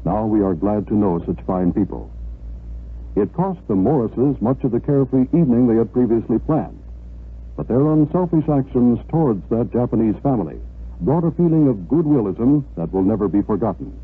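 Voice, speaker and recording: A man's voice: mid-range voice, animated, normal speed, husky. An American man reading scripted narration as an announcer on 1950s radio. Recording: old narrow-band radio broadcast.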